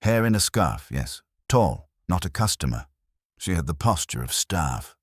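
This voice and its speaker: A man voicing a calm, precise spice merchant in a detective story, measured and exacting. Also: deep voice, no room sound, studio quality, exaggerated voice. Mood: dry, disdainful.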